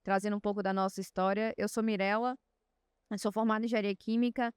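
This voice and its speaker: A woman with a Bahian accent speaks on a panel. Her voice is very crisp and resonant, and it sounds dry and close to the mic. She tells her story confidently, in a mid-pitched voice. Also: steady tone, quick pace, no room sound. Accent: Bahian Portuguese. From Salvador, Bahia, Brazil. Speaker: panelist, innovation executive. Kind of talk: panel talk.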